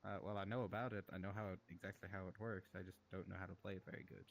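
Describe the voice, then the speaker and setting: A man with a fairly low voice and an American accent that drifts toward Russian chats over a headset mic. He rambles in an easygoing way, often breaking off and restarting sentences. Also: steady tone, rapid-fire, vocal fry, a little hiss or hum. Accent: Russian-tinged American. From United States. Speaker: streamer. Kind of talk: casual chat in a social VR game.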